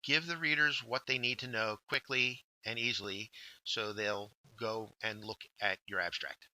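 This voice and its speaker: A man narrating a scripted instructional workshop, explaining step by step with deliberate emphasis on key words. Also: fairly low pitch, fairly even intonation, sharp tone, no room sound, poor audio. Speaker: workshop instructor, journal editor.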